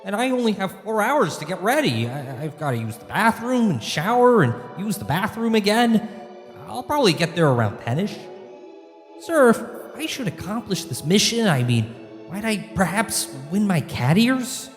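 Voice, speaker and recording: A man's voice: high voice, sing-song, moderate pace, long reverb tail, soft background music. A man playing an eager, fussy, simple-minded angel in a comedic radio play, flustered and fawning.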